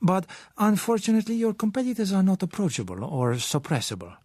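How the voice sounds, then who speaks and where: Man voicing a suave, higher-pitched schemer. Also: huge pitch swings, no echo, full character voice. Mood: polite, sly. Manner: measured, courteous, hesitant phrasing with soft pauses. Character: suave, sly South American businessman, polite and gently insistent. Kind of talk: audiobook character voice.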